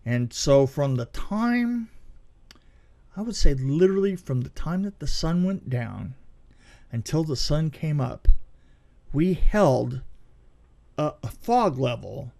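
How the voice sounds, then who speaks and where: Middle-aged male podcast guest, slightly high voice, informal storyteller who doubles back on his phrases for emphasis. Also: slow pace, vocal fry, long pauses. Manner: animated retelling with repeated phrases and acted-out quotes. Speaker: film effects veteran, podcast guest. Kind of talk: casual anecdotal storytelling.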